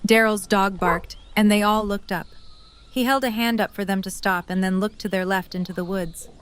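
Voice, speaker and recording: A woman's voice: mid-range voice, fairly even intonation, moderate pace, slight background noise. A woman reading scripted third-person story narration for an audio drama.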